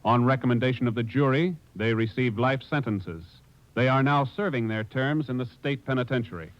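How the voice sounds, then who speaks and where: A man's voice: mid-range voice, normal speed. A man with an American accent doing formal, emphatic scripted announcing in 1950s radio style. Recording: dull old radio recording with music.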